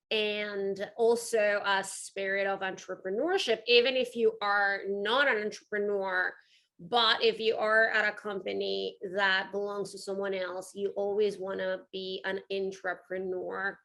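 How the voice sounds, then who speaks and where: A woman's voice: medium pitch, sharp tone. A woman with a Venezuelan accent giving a conversational talk to an audience as a guest speaker, earnest and emphatic. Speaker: guest speaker, art curator and entrepreneur.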